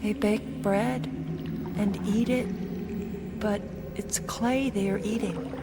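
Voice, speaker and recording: An actress playing a watchful, pensive woman who recounts an eerie journey in a radio play, with measured wonder. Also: medium pitch. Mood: sorrowful wonder. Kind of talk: first-person audio drama narration. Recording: loud music under the voice.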